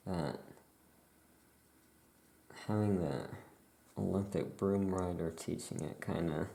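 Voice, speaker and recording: A soft-spoken ASMR performer playing a laid-back witch shopkeeper, musing aloud in an unhurried, creaky voice. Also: sits low. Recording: faint background noise.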